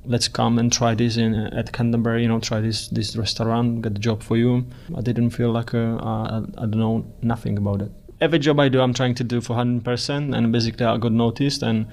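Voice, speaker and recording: Flat-voiced man. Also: mid-range voice. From Czech Republic. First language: Czech. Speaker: restaurant general manager. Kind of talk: interview answers.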